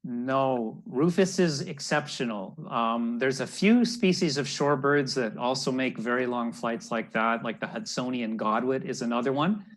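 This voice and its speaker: A man's voice: medium pitch, moderate pace, few pauses. A man, giving an educational talk for children as a naturalist over a video call. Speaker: naturalist, storyteller. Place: room at home, indoors. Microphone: wired earbuds on a video call.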